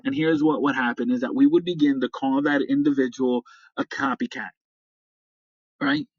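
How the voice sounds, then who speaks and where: A middle-aged American man hosting a motivational podcast, speaking in deliberate, emphatic phrases with repetition. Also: medium pitch, lively intonation, slightly rough, no room sound. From upstate New York, United States. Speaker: podcast host. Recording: slightly dull, dry lo-fi audio.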